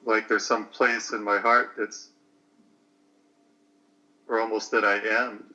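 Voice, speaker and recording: A man in his late forties talking slowly through a personal reflection, hesitant and searching for words. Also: fairly low pitch, level tone, pushed and bright, dull audio. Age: 40s.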